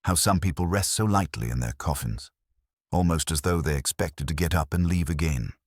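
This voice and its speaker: Courteous radio-mystery inspector, performed by a man: extremely deep, mild and patient, gently ironic and pointed. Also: moderate pace, dry recording, clean studio sound. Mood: pleasantly ironic. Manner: mild, pleasant, quietly pointed. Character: calm, mildly ironic male police inspector, courteous and patient.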